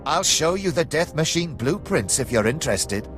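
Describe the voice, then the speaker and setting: A man's voice: fairly high pitch, very animated, normal speed, a bit bright. A man playing a cruel, arrogant Imperial commander in a video game, haughty and menacing in his declamation. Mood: gloating, fanatical. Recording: music behind the voice.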